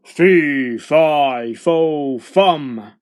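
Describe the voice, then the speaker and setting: A man's voice: on the high side, very slow. A man voicing a huge, hungry storybook giant who chants and roars with menace. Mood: roaring, furious. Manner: chanting, roaring.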